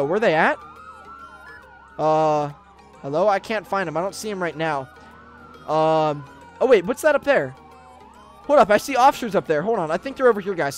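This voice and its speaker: Young american guy doing game roleplay commentary, voice jumps all over, chatty n excitable, bit of music behind. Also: high-pitched. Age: young adult. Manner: excitable, chatty, addressing viewers directly. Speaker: gaming video host. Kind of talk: casual roleplay gameplay commentary. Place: indoor room gaming setup with webcam.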